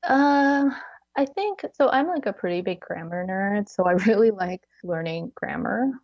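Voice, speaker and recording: A woman's voice: mid-range voice, expressive, measured pace, no room sound, dull audio. A woman in her thirties chatting casually as an interview guest, filling her talk with "like" and frequent little laughs. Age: 30s. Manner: chatty, many "like"s, laughs between phrases. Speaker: podcast guest.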